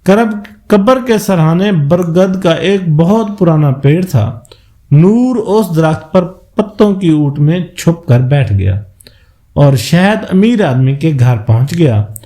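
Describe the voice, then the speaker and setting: A man's voice: on the high side, lively intonation, takes it slowly, warm tone. A man narrating a scripted moral folk tale as a storyteller.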